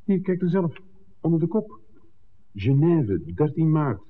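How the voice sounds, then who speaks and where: A man's voice: on the high side, big pitch swings, measured pace. An actor playing a worldly, principled man with a wry, bantering way, teasing his friend in a 1960s Dutch radio drama. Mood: urgent, probing. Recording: muffled, noisy old radio drama recording.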